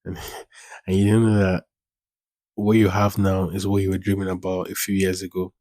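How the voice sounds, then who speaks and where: A man's voice: sits low, monotone, moderate pace, darkish tone, no room sound. A man in his twenties hosting a casual solo podcast, rambling, repeating phrases and using informal slang. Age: 20s. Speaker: podcast host.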